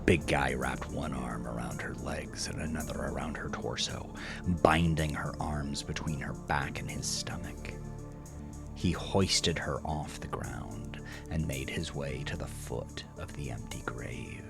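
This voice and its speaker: Male narrator for horror audio drama: low, unhurried, dramatic, music underneath. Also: gravelly.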